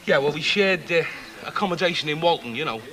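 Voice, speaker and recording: An actor playing a cheery working-class chancer with a Liverpool accent and the gift of the gab, chatty and wisecracking in a naturalistic drama. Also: male voice, on the high side, animated, quick pace, pushed and bright. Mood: matter-of-fact banter.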